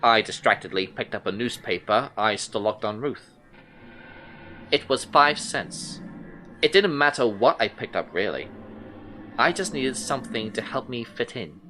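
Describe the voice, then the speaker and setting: An actor playing an intent, unsettled male detective, recounting his case in brooding, deliberate first-person audio drama narration. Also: mid-range voice, animated, sharp tone, slight background noise. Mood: uneasy, puzzled.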